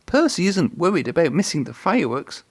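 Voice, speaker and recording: A cheeky young male engine with a raised, very lively voice, acted by a man. He is boastful and excitable, in storybook style, recorded dry and close. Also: on the high side, a little fast, slightly rough, no room sound, pitched above the performer's own voice. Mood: teasing. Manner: bold, excitable.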